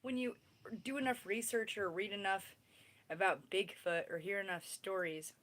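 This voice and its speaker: A woman's voice: mid-range voice, steady tone, moderate pace, a bit bright. A woman in her thirties hosting a casual livestream, rambling and chatting straight to her viewers. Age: 30s. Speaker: livestream host.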